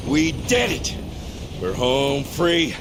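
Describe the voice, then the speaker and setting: Slow-talking, roguish outlaw. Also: male voice, on the high side, huge pitch swings, very noisy, heavily acted. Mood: wry, teasing. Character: roguish, teasing outlaw comrade. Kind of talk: dramatic video game cutscene acting.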